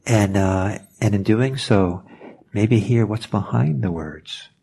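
A man whose first language is Norwegian, giving a reflective meditation talk, pausing and searching for words as he goes. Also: lowish voice, vocal fry, warm tone, a little hiss or hum. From Norway. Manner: thoughtful, hesitant, searching for words.

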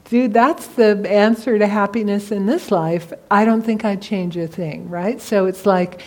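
A woman's voice: medium pitch, steady tone, unhurried. A woman teacher of Tibetan Buddhism answering on a discussion panel, talking casually and telling stories with animation.

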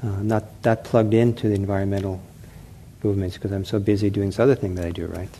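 A middle-aged man giving a dharma talk as a meditation teacher, gentle and unhurried, often pausing with fillers and restarting his phrases. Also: on the low side, level tone, a little hiss or hum. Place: meditation center hall.

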